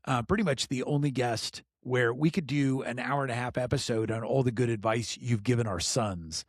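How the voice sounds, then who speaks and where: A middle-aged man hosting a podcast in casual chat, enthusiastic, laughing often and filling pauses with lots of ums and uhs. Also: mid-range voice, dry recording, studio quality. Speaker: podcast host.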